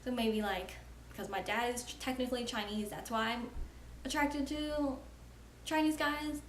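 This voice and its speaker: A woman's voice: on the high side, fairly even intonation, moderate pace. Frank young woman vlogging in an echoey room. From United States. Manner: chatty, frank, frequent "like" fillers. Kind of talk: casual talking-to-camera vlog. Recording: roomy echo with faint ambient noise.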